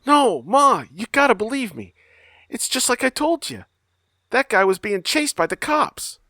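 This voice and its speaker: Animated, scrappy teenage son. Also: male voice, extremely high pitch, measured pace, no room sound, studio quality, exaggerated voice. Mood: pleading. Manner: eager, defensive, boyish talk. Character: scrappy teenage son, cocky, earnest, protective of his mother. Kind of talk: audio drama acting.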